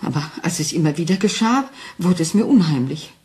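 An actress playing a frightened wife who doubts her sanity, speaking anxiously and haltingly in a German crime radio play. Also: low voice, animated, a little mellow. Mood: fearful, urgent. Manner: anxious, halting, intense, dropping into whispers.

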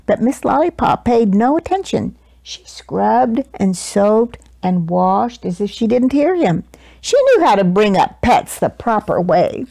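Casting: woman, 60s, expressive children's story reader, dramatic emphasis, close dry lapel-mic sound. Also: medium pitch, gravelly, dry recording. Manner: deliberate, dramatic emphasis for young listeners. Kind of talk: expressive picture-book read-aloud. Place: small living room, indoors.

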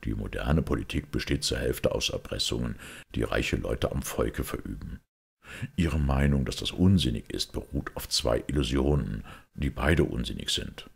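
Guy doing a sombre priest detective, super low and really husky, measured audiobook talk. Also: full character voice. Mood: explaining. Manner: measured, reflective explaining. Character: grave, thoughtful priest detective, calm and sombre. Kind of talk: audiobook character acting.

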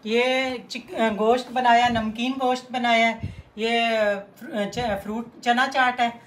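A woman's voice: fairly high pitch, fairly even intonation, slow pace, slight background noise. A middle-aged woman chatting casually as host of a family cooking vlog. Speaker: cooking channel host, mother. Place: home kitchen and dining room. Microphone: handheld phone at some distance. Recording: echoey room, clinking dishes and cutlery.

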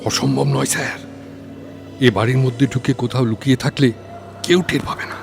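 A man's voice: medium pitch, fairly even intonation, normal speed, creaky, music in the background, lightly acted. A man acting a deferential, wary assistant in an audio story, speaking hesitantly and repeating himself.

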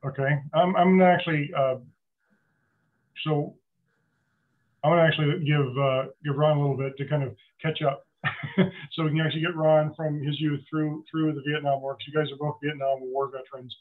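A middle-aged male interviewer steers the conversation over a video call, rambling and hesitant with plenty of "um" and "so". His voice is slightly higher than average. The audio is tinny and narrow, like a phone line. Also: fairly even intonation, moderate pace. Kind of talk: casual interview hosting.

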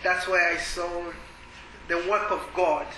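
A man from Malawi tells his story at a church workshop, his voice pitched fairly high and pausing often on filler sounds. The room around him rings with echo. A steady noise sits under the talk. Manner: hesitant, many filler sounds. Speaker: ministry worker. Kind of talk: workshop testimony. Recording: echoey room with background noise.

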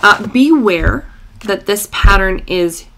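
A woman in her thirties, slightly croaky from a recent illness, chatting casually through a sewing tutorial in a small home room. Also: medium pitch, lively intonation, takes it slowly, fairly bright tone. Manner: chatty and self-deprecating, with fillers. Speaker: sewing vlogger. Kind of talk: casual tutorial chat to camera.

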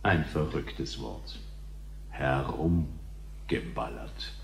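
An actor playing a calm, dryly ironic alien visitor with a measured, deliberate delivery in a radio play. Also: male voice, very deep voice, creaky, full character voice. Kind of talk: German radio play acting. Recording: echoey, faint background noise.

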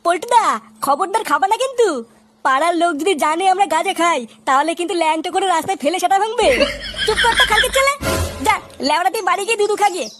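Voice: very high voice, animated, fast talker, music in the background. An actor voicing a cheeky, foul-mouthed young cartoon fellow in crude comic dialogue. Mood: bossy. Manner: crude, swearing, teasing.

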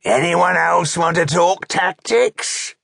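Slow, rasping, brutal orc chieftain. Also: male voice, sits high, a bit bright, no room sound, clean studio sound, voice pushed up, exaggerated voice. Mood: snarling menace. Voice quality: growly, rough. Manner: bellowing, snarling orders. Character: brutal, arrogant male orc chieftain, boorish and menacing.

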